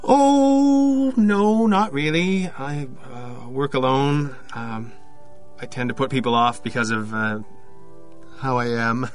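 Over faint music, a man acts out an anxious, obsessive loner in an audio drama. His voice sits at a middle pitch. He talks haltingly and with agitation, doubting himself as he goes. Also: close to an everyday voice. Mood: troubled, hesitant. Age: 50s. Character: anxious, obsessive loner inventor confessing to a priest.

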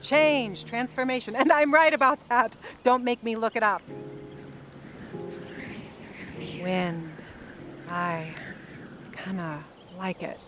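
A woman's voice: a little high, measured pace, a bit bright, slight background noise. An actress playing a bossy, teasing wife who needles her husband, in an audio drama. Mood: lecturing. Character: sharp-tongued teasing wife, bossy and witty.

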